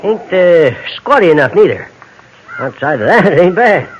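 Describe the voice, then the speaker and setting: An actor playing a blunt, cocky rainmaking drifter, drawling in a folksy rural American accent in an old radio western. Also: male voice, high voice, very animated, normal speed, a little hiss or hum. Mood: nosy, folksy. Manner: drawling, folksy, plain-spoken. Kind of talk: 1950s radio western acting. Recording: dull, lo-fi old radio recording.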